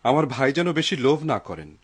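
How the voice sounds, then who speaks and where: A man playing a pious, humble, gentle husband in a cartoon, with a husky voice. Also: medium pitch, very animated, normal speed. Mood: devout. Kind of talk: dubbed cartoon acting.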